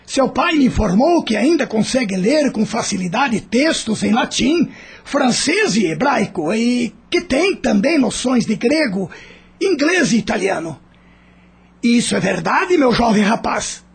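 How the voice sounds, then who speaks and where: A man's voice: extremely high pitch, very animated, measured pace, gravelly, slight background noise, exaggerated voice. A man playing a condescending schoolteacher who praises his pupil in a formal, emphatic way, in a radio drama. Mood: pompous praise.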